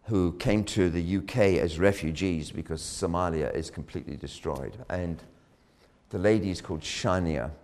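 A man preaching a sermon, telling a story dramatically, rising loud on key words and dropping low again. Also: moderate pace. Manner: dramatic, swells loud on key phrases then drops low.

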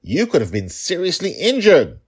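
A man acts out a stern pirate father from an audio storybook, husky and very animated. He is protective and worried, and scolds with weighty emphasis. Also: a little high, moderate pace, voice pushed up, exaggerated voice.

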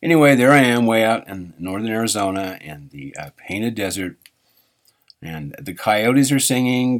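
Low-voiced rambling male radio host. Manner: halting, self-correcting, chuckles often. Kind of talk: rambling live radio chat.

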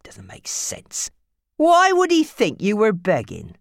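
A woman voicing a brutal, controlling man in an audiobook, menacing, moving between hard barks and hoarse, harsh murmurs. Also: mid-range voice, wildly swooping pitch, takes it slowly, no room sound, studio quality, voice pushed up, full character voice. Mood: angry, demanding. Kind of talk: audiobook character acting.

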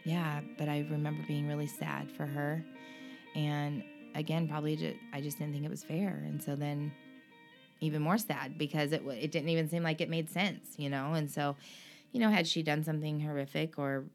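A woman guest in an interview, speaking earnestly and emotionally about her own experience, with frequent pauses and restarts. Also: low voice, little pitch movement, soft background music. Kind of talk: candid personal interview.

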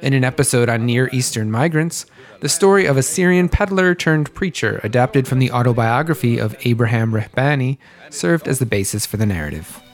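A man's voice: medium pitch, animated, brisk. Man narrating a history podcast. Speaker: podcast host. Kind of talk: scripted narration.

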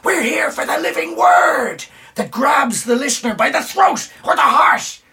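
A man's voice: extremely high pitch, big pitch swings, measured pace, pushed and bright, slight background noise, heavily acted. An actor playing a charismatic, unconventional poetry teacher in a radio drama, theatrical and emphatic as he exhorts his class. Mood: impatient, exhorting.

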